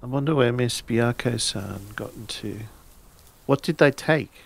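A man's voice: mid-range voice, measured pace, creaky. A man playing an earnest, awkward samurai in a tabletop role-playing game, speaking hesitantly. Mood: puzzled.

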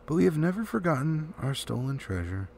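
Storybook dwarf chief, man reader: elderly, male, proud and grave, formal delivery, gravelly edge, faint noise behind. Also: fairly high pitch, big pitch swings, fairly quick, a little mellow. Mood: solemn, proud. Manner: solemn, formal. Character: grave, proud old dwarf leader telling of lost treasure.

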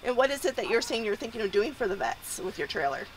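Lively woman interviewing at a campground. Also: a little high, quick pace, slight background noise, poor audio. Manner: friendly, brief affirmations. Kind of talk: casual interview questions. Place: outdoor campground.

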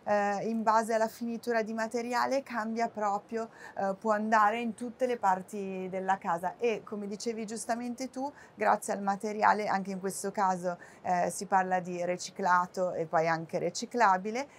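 Relaxed woman with hesitant "eh" pauses. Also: medium pitch. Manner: relaxed, with frequent "eh" hesitations and light laughs. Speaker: company marketing director. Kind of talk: casual trade-fair interview. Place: busy furniture fair stand.

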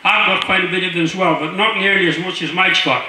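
A man's voice: high-pitched, quick pace, sharp tone. An older man, telling rambling anecdotes informally to a gathering over a handheld microphone. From Ireland. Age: 60s or older. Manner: rambling, storytelling. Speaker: club speaker and musician. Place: inside a marquee at a club rally. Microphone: handheld microphone through PA, captured by distant camera. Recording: echoey, noisy PA recorded from far off.

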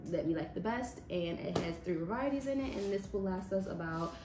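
A woman's voice: fairly low pitch, animated, fairly quick, far from the mic, music in the background. Chatty woman in an echoey kitchen. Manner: chatty, matter-of-fact, with small restarts. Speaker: vlogger. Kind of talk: casual grocery haul walkthrough. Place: home kitchen, indoors. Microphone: camera a few feet away. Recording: roomy kitchen echo from across the counter.

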